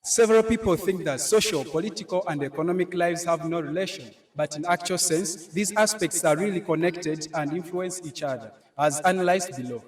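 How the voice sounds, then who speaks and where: A man's voice: sits high, brisk, few pauses. Young man, earnest and echoey. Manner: earnest, rises to loud declaiming in his speech. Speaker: head boy, student speaker.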